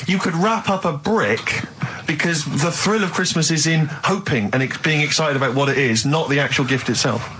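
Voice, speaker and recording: A man trading comic radio banter, mock-incredulous and quick with sarcastic quips. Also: on the high side, background noise. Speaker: radio show co-host.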